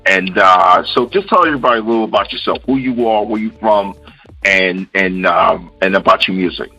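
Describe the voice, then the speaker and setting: A deep-voiced male radio host from Queens, New York with a bright, forceful tone. He talks in a loose, casual way and repeats his phrases. The recording sounds dull and lo-fi, with faint music underneath. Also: brisk, gravelly, husky. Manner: casual, repeats phrases and says "you know" often. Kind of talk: radio interview.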